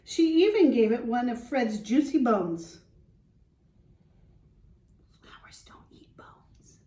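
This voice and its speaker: A woman schoolteacher reading a children's storybook aloud, explaining simply and pausing to put questions to young listeners. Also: fairly high pitch, big pitch swings, warm tone. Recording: roomy echo, distant microphone.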